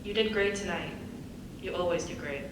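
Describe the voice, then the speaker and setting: A woman's voice: fairly low pitch, normal speed, lightly acted. A woman acting in a live script reading as an independent woman separating from her partner. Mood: warm, encouraging. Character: supportive but exasperated adult woman, separating from partner. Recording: distant echoey hall, clear background noise.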